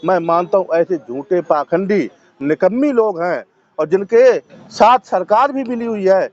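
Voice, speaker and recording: Guy, high voice, protester answering questions forcefully, repeats himself for emphasis, muffled field recording. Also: expressive, a little slow. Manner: assertive, emphatic, repeating phrases. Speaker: protester interviewed at a sit-in. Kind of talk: impassioned political and religious interview answers.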